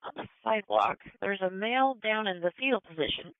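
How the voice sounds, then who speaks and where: Female police dispatcher, gravelly edge, mid-range voice, dry narrow-band radio transmission. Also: mid-range voice, lively intonation, a little fast, no echo. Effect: two-way radio. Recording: tinny narrow scanner radio feed.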